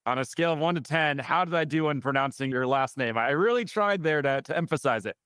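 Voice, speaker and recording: A man's voice: on the high side, fast, a bit bright, clean tone, dry recording, clean studio sound. A man hosting a business podcast, asking questions and telling stories in a friendly way. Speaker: podcast host. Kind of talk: podcast interview.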